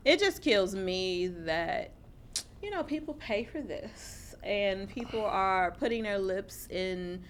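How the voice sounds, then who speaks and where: An American woman co-hosting a talk show in casual, blunt, profane banter, telling stories in an animated way. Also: mid-range voice, slow. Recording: noticeable background noise.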